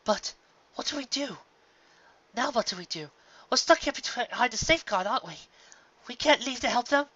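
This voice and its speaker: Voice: medium pitch, lively intonation, a little fast, long pauses, pushed and bright, no room sound, dull audio, pitched above the performer's own voice. A reader voicing a younger pony princess, hot-tempered and anxious, who speaks with great emphasis. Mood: anxious, pleading. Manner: stammering, emphatic outbursts.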